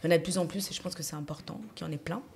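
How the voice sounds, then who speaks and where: A woman in her forties, born in France, chatting at a round-table podcast, laughing often and filling pauses with hesitations. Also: deep voice, fairly even intonation, a little hiss or hum. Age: 40s. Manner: laughs often, many fillers. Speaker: podcast guest. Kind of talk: casual round-table chat.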